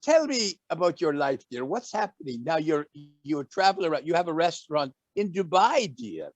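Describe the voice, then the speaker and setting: A man's voice: fairly high pitch, very animated, moderate pace, dry recording. A man doing a flirty, campy lady host. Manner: gushing, flirty, says dear constantly. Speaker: talk show host. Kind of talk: campy comic interview.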